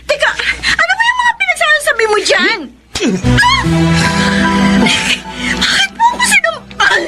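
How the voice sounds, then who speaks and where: A woman's voice: very high voice, big pitch swings, measured pace, over loud music, exaggerated voice. A woman acting an anguished wife in a Filipino radio drama, pleading and emotional, as she leaves her husband for another man. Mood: alarmed, indignant.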